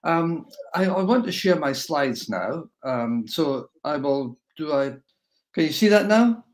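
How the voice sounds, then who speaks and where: An older man from Scotland with a Scottish accent, giving a relaxed, rambling webinar lecture over a video call from his home. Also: a little high, a little slow. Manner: relaxed, rambling with fillers and restarts. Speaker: guest speaker.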